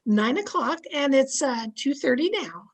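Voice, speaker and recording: A woman's voice: high voice, lively intonation, unhurried. A woman playing an agreeable, dithering lady friend with hesitant delivery in old-time radio comedy style. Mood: puzzled, dithering.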